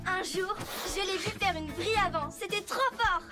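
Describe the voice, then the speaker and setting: A woman's voice: very high voice, normal speed, loud music behind the voice. A woman voicing a plucky, accident-prone little child motorbike in a French cartoon, cheeky and enthusiastic. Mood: carefree. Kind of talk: French cartoon dub acting.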